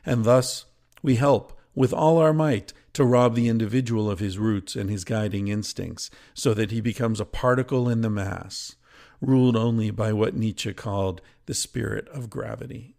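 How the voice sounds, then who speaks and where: A man reading from a book aloud in a deliberate, measured way, with informal commentary of his own. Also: lowish voice, vocal fry, darkish tone. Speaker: podcast host and reader. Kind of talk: reading a book excerpt aloud with casual asides.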